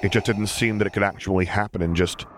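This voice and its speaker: A man with a low voice narrates an audiobook. His reading is scripted and dramatic, recorded with light noise behind it. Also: fairly even intonation, fast talker. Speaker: audiobook reader.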